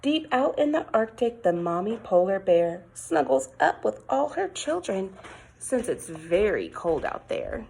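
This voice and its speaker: A woman teacher reading a picture book aloud to young children, expressive and sing-song, coaxing with questions and exclamations. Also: medium pitch, unhurried, gravelly, breathy edge, low-quality recording.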